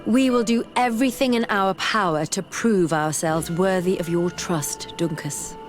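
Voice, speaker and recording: A woman's voice: fairly low pitch, unhurried, natural voice. An actress playing an earnest, curious young female scholar in a video game, speaking politely and formally. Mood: earnest, respectful. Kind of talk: video game dialogue acting. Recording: music behind the voice.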